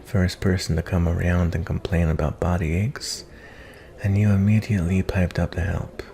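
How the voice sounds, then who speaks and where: A man playing a gentle, reflective cowboy bounty hunter in a softly spoken fantasy ASMR roleplay, talking in a folksy, kindly, rambling way. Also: flat delivery, moderate pace. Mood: warm, admiring. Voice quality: low, gravelly.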